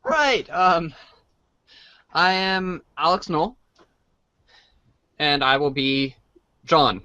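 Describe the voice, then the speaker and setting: A man's voice: fairly high pitch, animated, drawn-out words, pushed and bright, dry recording. A man chatting casually as a voice actor in a reader's theater show. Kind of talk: casual, unscripted chat. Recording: dull, dry lo-fi mic.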